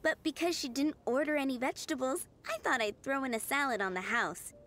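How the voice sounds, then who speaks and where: A woman's voice: high voice, brisk, a bit bright, a little hiss or hum. A woman voicing a polite, cheerful young waitress in a video game, courteous and lightly playful.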